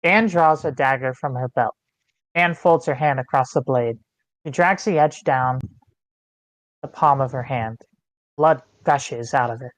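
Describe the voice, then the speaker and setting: Screenplay directions reader, measured delivery, medium-low neutral pitch, scripted narration, no room echo. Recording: online meeting, close and dry.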